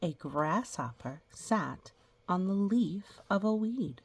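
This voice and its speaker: A woman reading a children's picture book aloud, with playful sound effects and casual, gentle explanatory asides. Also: medium pitch, animated, slow, slightly rough. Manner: explains gently to young listeners.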